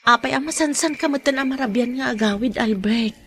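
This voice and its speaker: An actress playing a weary, insecure wife recovering from an accident in an Ilocano radio drama, with plaintive, drawn-out delivery. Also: female voice, high voice, a little hiss or hum. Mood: apologetic, needy.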